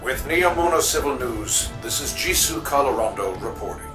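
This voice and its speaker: A man playing a formal, solemn reporter reading a scripted sci-fi news bulletin in a video game with measured announcing. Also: medium pitch, lively intonation, reverberant, far from the mic, music in the background, natural voice, poor audio.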